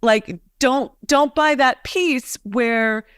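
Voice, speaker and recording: A chatty woman host with a somewhat high voice, asking questions in a loose, filler-heavy way. Her sound is dry and close to the mic. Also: very slow, fairly bright tone, clean tone, no echo. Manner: chatty, uses many fillers, asks questions. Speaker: host and coach. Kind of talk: conversational interview.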